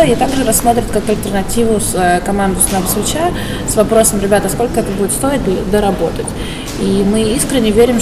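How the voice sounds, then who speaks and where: A young woman working as an interpreter, relaying an interview piece by piece. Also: mid-range voice. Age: young adult. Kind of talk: spoken consecutive interpreting. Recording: very noisy, distant from mic.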